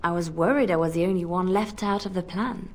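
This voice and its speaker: A woman playing an anxious but hopeful young bard spy in a fantasy video game, speaking gently and earnestly. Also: lowish voice, fairly quick, natural voice. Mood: worried, hopeful. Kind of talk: video game cutscene acting.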